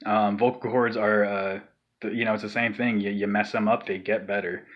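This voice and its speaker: A man's voice: lowish voice, level tone, normal speed, highs cut off. A man explaining a vocal technique in a loose, rambling way, with lots of fillers and sighed demonstrations. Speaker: vocal tutorial maker. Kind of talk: casual spoken tutorial.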